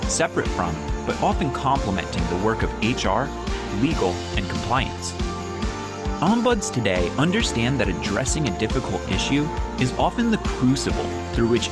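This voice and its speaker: A man doing polished, scripted narration for an explainer video, played back during an online talk. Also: mid-range voice. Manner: polished, measured delivery. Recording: played over a video call with loud music.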